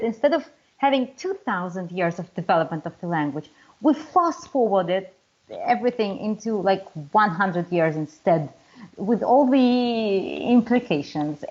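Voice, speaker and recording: A woman's voice: mid-range voice, a little mellow, dull audio. A woman, a Hebrew teacher answering interview questions hesitantly with many restarts. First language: Russian. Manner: hesitant, many restarts and fillers, laughs easily.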